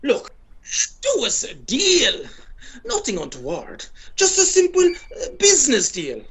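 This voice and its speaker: An ageing Irish sporting has-been acted by a woman in a radio play, full of himself and forever wheedling. The voice is husky and very animated, leaping about in pitch as it chats and brags. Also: measured pace, heavily acted. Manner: pompous, chatty, persistent. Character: boastful, wheedling ageing male Irish pitch and putt champion. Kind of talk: radio play monologue and dialogue.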